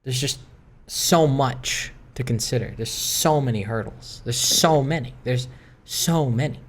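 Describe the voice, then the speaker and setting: A man rambling introspectively in a solo gaming commentary, hesitant, often repeating and correcting himself. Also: mid-range voice. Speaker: gaming video creator doing commentary. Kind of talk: rambling, introspective solo chat over gameplay.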